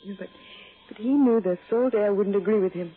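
An actress playing a gentle, fragile grieving woman in a 1960s radio drama, with halting, polite delivery. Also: mid-range voice, natural voice. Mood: subdued, hesitant. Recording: muffled vintage radio recording, faint music.

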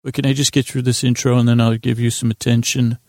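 A man hosting a sleep podcast in lulling, soothing tones, meandering and halting through a gently self-deprecating monologue. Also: medium pitch, flat delivery, dry recording, studio quality. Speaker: podcast host.

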